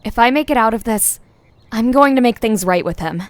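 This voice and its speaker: A woman's voice: a little high, lively intonation, a little fast, no echo, close to an everyday voice. A woman playing a weary, wry but determined young woman hiker who grumbles to herself in an audio drama.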